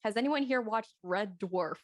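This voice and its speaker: A woman's voice: mid-range voice, normal speed, a crowd in the background. A woman voice actor talking casually in a group discussion with fellow cast members. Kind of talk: casual chat.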